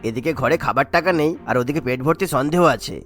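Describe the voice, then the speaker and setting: A man's voice: medium pitch, fast talker, a bit bright, lightly acted. A man playing a sly, henpecked old husband in a cartoon, wheedling and complaining as he talks. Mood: defensive. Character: sly henpecked old cheating husband, sweet-talking father-in-law. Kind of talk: cartoon voice acting.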